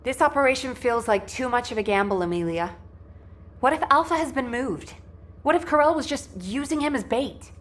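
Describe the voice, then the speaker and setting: A confident female fighter from a sci-fi game. Her fairly high voice carries steel with a teasing streak. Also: expressive, moderate pace. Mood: teasing confidence. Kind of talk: video game dialogue.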